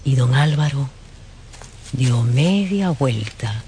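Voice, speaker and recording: A man's voice: mid-range voice, animated, slow, dark tone, a little hiss or hum. A man narrating a scripted radio drama as a storyteller.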